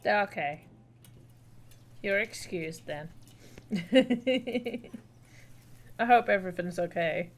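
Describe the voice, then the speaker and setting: A woman's voice: mid-range voice, animated, very slow, long pauses. A woman live streamer chatting casually and off the cuff while she plays a game.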